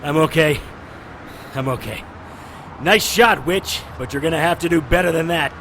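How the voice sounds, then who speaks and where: An actor playing a cocky, sarcastic private investigator in an audio drama. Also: male voice, fairly high pitch, moderate pace, a bit bright, slight background noise. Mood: wry. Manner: sarcastic, wisecracking.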